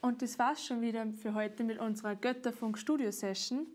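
A woman hosting a studio interview, asking calm, measured questions. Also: a little high. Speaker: interviewer host.

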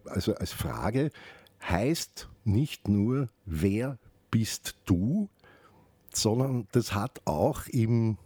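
A man hosting a radio show, explaining things off the cuff in a halting, reflective way with asides. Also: fairly low pitch, warm tone, slightly rough, dry recording. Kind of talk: unscripted spoken radio commentary with explanations.

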